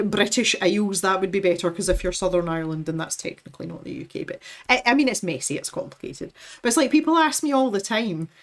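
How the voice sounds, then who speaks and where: A Scottish woman chatting casually as a livestream host, opinionated and given to lively storytelling. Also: medium pitch. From west coast of Scotland. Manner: chatty, opinionated, animated storytelling with acted-out quotes. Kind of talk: casual chat with live viewers.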